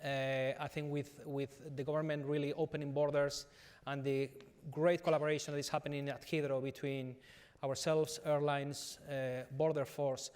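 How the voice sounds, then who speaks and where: A man's voice: fairly high pitch, level tone, normal speed, darkish tone, clean tone. A middle-aged man with a Spanish accent, speaking earnestly at a business panel with many fillers and restarts. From Spain. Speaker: company executive on a conference panel.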